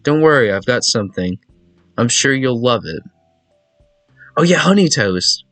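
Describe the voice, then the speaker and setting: A man's voice: mid-range voice, sing-song, measured pace, fairly bright tone, no room sound. A man voicing an eager, nervous visual novel protagonist who is anxious to please. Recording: music behind a dry voice.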